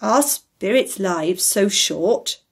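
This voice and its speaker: A woman's voice: medium pitch, lively intonation, drawn-out words, creaky, breathy edge, full character voice. A woman voicing an anxious, humbled old man in a storybook, asking in an earnest, hesitant manner. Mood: uneasy.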